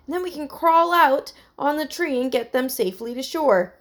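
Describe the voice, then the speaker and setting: A woman's voice: sits high, animated, normal speed, pitched above the performer's own voice. A woman voicing an earnest, stubborn boy in a children's story, confident and insistent. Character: earnest, stubborn boy inventor, sure of his plans.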